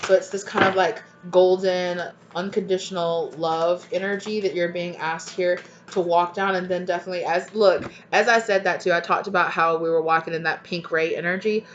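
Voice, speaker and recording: A woman with a stuffy, congested voice rambles through a channeled tarot reading, her pitch held fairly flat. She keeps checking in with the listener as she goes. The home recording sounds dull and lo-fi, with a faint hiss behind her. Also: moderate pace, hardly any pauses. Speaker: tarot reader. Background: dogs barking at times.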